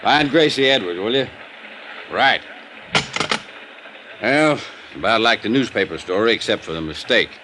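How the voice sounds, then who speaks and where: An actor playing a gruff, blunt American newspaper editor with a sarcastic edge, in old radio drama style. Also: male voice, on the low side, expressive, normal speed, pauses often, lightly acted. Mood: blunt, wary. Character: bluff, red-faced male newspaper managing editor. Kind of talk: 1940s-50s radio acting. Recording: noisy old radio recording.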